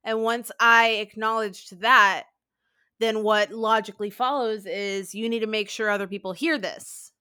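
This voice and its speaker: A woman's voice: mid-range voice, fairly even intonation, a little slow, bright, forceful tone, clean tone. A woman co-hosting a casual conversation, speaking confidently and emphatically.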